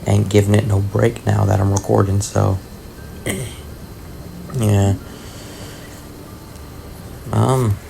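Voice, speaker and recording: Male gaming host for casual voice-over: very creaky, raspy, talked-out voice, low-key and hesitant, little pitch movement. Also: mellow, a little hiss or hum, poor audio. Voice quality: raspy, gravelly. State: tired voice after long talking, throat-clearing. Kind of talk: casual voice-over commentary on gameplay. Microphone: voice-over recorded separately from the footage.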